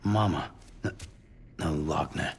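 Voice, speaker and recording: A gruff, reluctant game hero of few words. The voice is extremely low and full of gravel and fry, blunt and closed-off. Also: male voice, slow pace, heavily acted. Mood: concerned. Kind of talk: cinematic video game dialogue.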